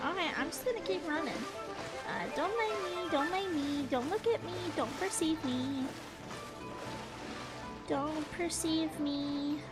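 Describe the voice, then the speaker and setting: A woman's voice: sits high, a little slow. A woman giving casual, chatty gameplay commentary, playfully talking to herself as she plays. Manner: chatty, playful self-talk. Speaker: gaming streamer. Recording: game music behind the voice. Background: loud video game music.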